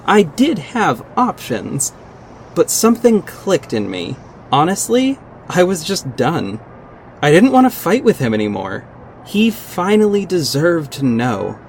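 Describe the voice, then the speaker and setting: A man's voice: on the high side, big pitch swings, measured pace, vocal fry, darkish tone, slight background noise, full character voice. A man playing a confident vigilante detective who narrates his own story in an earnest, dramatic audio drama style. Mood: tense, dramatic. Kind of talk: first-person audio drama narration with acted dialogue.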